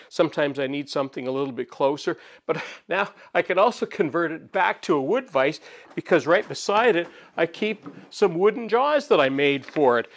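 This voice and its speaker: Older man, 60s, woodworking host, chatty and relaxed, slightly high voice, chuckles as he talks, home workshop. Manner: chatty, relaxed, chuckles often while explaining. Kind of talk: casual workshop demonstration. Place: home woodworking shop, indoors.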